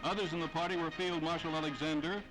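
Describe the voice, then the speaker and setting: A man's voice: fairly high pitch, steady tone, fast, rough recording. A man delivering scripted 1940s newsreel narration in a formal, declamatory way with dramatic phrasing. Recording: vintage 1940s newsreel soundtrack, loud music.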